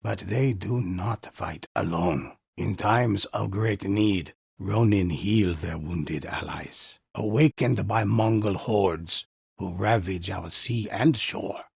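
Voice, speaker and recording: A grave, mysterious older storyteller narrates game legends of warriors and spirits. His voice is low and very raspy, and he speaks slowly, weighing every phrase with solemn reverence. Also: a little mellow, no echo, studio quality, exaggerated voice. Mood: grave, urgent. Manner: solemn, measured, portentous delivery. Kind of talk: dramatic scripted storytelling narration for a video game.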